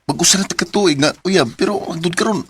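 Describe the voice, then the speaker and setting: An actor playing a weary, frustrated young boyfriend in a Cebuano radio drama, speaking seriously. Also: male voice, high-pitched, fast, fairly bright tone, slight background noise.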